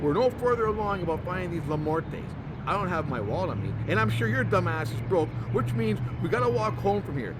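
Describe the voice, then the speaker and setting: A man playing a sarcastic, exasperated high-voiced brother. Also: big pitch swings, a little fast. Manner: sarcastic, needling. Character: sarcastic, exasperated young twin brother, the sensible one. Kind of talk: comedy-horror audio drama. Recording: loud car and street noise behind.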